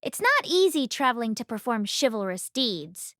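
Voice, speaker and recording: A woman's voice: fairly high pitch, sing-song, normal speed, a bit bright, no echo, studio quality. A woman voicing a brash street performer hawking beast tricks with showman's patter in an audiobook. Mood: showy, inviting.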